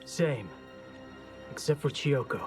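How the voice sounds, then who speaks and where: A man's voice: mid-range voice, takes it slowly, music in the background, lightly acted. An actor playing an earnest, determined young male ninja in a video game.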